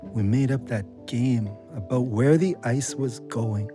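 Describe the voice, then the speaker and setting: A man playing a cocky, loving ex-convict in an audio drama, with an expressive, drawling delivery. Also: mid-range voice, takes it slowly, soft background music, lightly acted. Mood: tender, dreamy. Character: cocky, bawdy, loving man home from jail.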